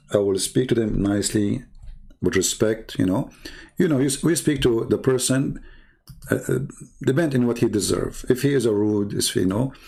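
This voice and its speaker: Gravelly Arabic-accented man challenging callers. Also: medium pitch, darkish tone. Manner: challenging, taunting, inviting callers. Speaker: online debate host. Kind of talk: live-streamed religious debate talk.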